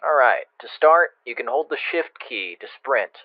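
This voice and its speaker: A man's voice: mid-range voice, expressive, normal speed, no echo, phone-quality sound. A man playing an impatient, sardonic military instructor who gives casual game tutorial directions over a radio. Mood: instructive. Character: impatient, wry male military training instructor. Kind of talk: video game tutorial narration. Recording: thin, dry radio-like band-limited sound.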